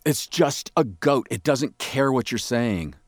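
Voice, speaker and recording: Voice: mid-range voice, lively intonation, unhurried, no room sound, studio quality. An actor playing a skeptical, exasperated police interrogator in an audio drama, with terse questions that can turn flustered and emphatic. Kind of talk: audio drama dialogue acting.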